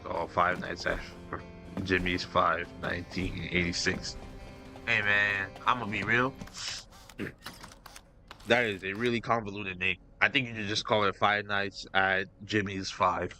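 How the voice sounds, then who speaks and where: Slangy young man with a sharp voice. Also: deep voice, level tone, unhurried, soft background music. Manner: slangy, loose, talking to himself. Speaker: gaming streamer. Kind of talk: casual let's-play commentary.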